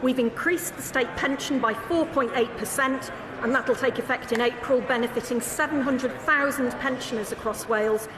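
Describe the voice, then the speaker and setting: A woman's voice: sits high, normal speed. A woman serving as secretary of state, confidently listing figures in a formal parliamentary answer in a debating chamber. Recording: echoey chamber with murmuring crowd.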